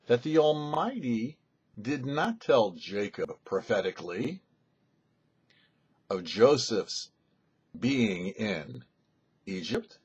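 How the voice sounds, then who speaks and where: A man, a rabbi, giving a weekly Torah commentary in a thoughtful, explanatory teaching manner with pauses. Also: mid-range voice, slightly rough. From United States. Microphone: studio mic.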